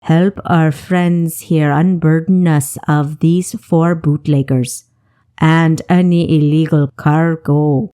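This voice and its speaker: A woman voicing a calm, shrewd male ship captain who gives measured, authoritative orders in an audiobook. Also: deep voice, smooth. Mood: stern, commanding. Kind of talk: audiobook character voice.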